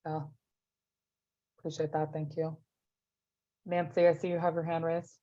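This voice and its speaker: A woman with a low voice, a little hoarse from a cold, steers an online meeting in a calm, polite way. Her audio comes through a dull, lo-fi video call. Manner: measured, courteous, with frequent ums. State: has a cold, losing her voice. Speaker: meeting facilitator. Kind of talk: facilitating an online policy meeting.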